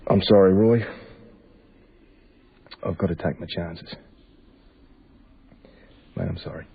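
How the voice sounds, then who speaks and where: Very deep, gravelly Australian traveller. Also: male voice, fairly even intonation, takes it slowly, full character voice. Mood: apologetic, subdued. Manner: reflective, colloquial storytelling. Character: wistful, easygoing young Australian traveller from opal country. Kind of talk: naturalistic radio drama acting. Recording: dull, phone-like band, faint music.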